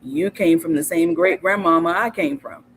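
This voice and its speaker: A woman's voice: lowish voice, normal speed, a little hiss or hum. A woman from South Carolina, a podcast guest telling stories in casual conversation, thoughtful and often stopping to restart with ums. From Hilton Head, South Carolina.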